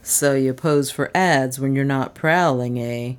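Gruff male detective as acted by a woman: low, unhurried, bullying and sarcastic, for a mystery audiobook. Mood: sneering. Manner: gloating, badgering.

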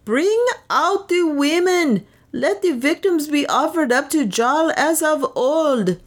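A woman voicing an enraged, bloodthirsty crowd in an audiobook with drawn-out, demanding cries. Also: high-pitched, expressive, pitched above the performer's own voice. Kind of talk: theatrical audiobook character speech.

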